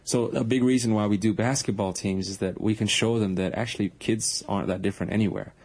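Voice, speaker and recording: A man's voice: lowish voice, fairly even intonation, fast, a little mellow. A young man, a guest on a radio interview, talking earnestly about organising basketball teams, often restarting and correcting himself. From born in Iran, raised across the Pacific and Europe.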